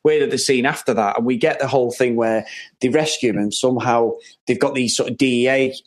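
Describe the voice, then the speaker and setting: A man co-hosting a casual film review podcast, animated and exasperated as he talks, laughing often. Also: mid-range voice, a little fast. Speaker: podcast co-host.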